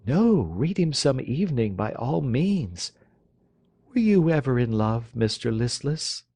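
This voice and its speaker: A man's voice: mid-range voice, sing-song, unhurried, warm tone, slightly rough, no room sound, clean studio sound, voice pushed up, heavily acted. A man voicing a coquettish, teasing young woman with a playful, mocking lilt.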